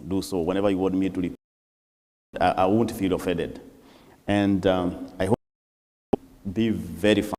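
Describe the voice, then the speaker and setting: A man with a Kenyan accent, English his third language, speaking as a visiting priest to a congregation in a measured, deliberate way with pauses. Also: deep voice, dark tone. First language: not English; English is his third language. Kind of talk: church talk to a congregation. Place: church hall. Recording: long church reverb.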